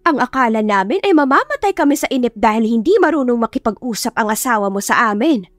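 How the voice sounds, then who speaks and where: A woman's voice: a little high, animated, quick pace, dry recording, clean studio sound, faint music behind, close to an everyday voice. A woman voicing impatient, haughty business associates with a complaining, condescending manner in an audiobook. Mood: impatient, snobbish. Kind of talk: dramatised audiobook dialogue.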